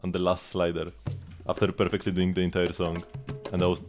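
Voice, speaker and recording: A man's voice: deep voice, monotone, a little fast, darkish tone. A man livestreaming a game, talking casually in a rambling, self-deprecating way over the gameplay. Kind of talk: casual live gameplay chat. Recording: game music behind the voice. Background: game music.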